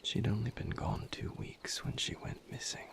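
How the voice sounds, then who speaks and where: A man playing a brooding vampire lover in an intimate audio roleplay, confiding his grim past in a halting, somber, breathy near-whisper. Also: fairly bright tone, lightly acted. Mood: somber, bitter.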